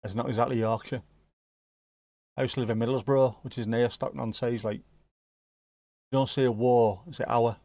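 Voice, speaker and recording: A man's voice: medium pitch, fairly even intonation, normal speed, pauses often, dark tone, no echo. A man with a Scouse accent, hosting a livestream and chatting casually with viewers, joking and swearing now and then. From Liverpool area, England. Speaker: livestream host. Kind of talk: casual chat reacting to live chat.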